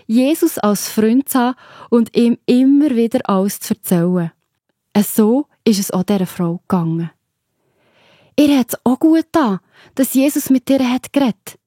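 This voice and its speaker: A woman's voice: on the high side, dark tone, husky, no room sound, clean studio sound. A woman with a Swiss German accent narrating a scripted story for children, explaining simply and addressing the listener directly.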